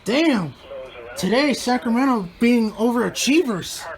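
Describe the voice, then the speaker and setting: A man's voice: extremely high pitch, lively intonation, measured pace, a crowd in the background, rough recording. A man hosting a hobby video, chatting casually. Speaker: host of a hobby radio-test video. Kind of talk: casual chat.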